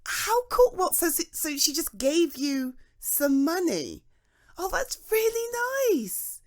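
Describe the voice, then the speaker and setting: A young woman with a British accent chats softly in an ASMR roleplay, reassuring a friend who feels guilty about treating themselves. Her voice moves lively up and down, and small laughs come often between her sentences. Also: very high-pitched, takes it slowly. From Britain. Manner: chatty and reassuring, with frequent soft laughs.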